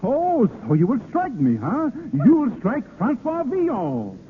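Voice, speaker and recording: An actor playing a cocky, quarrelsome drunken young poet, boisterous and taunting, in 1930s radio style. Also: male voice, very high-pitched, huge pitch swings, slow, heavily acted. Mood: belligerent. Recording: scratchy tinny 1930s radio.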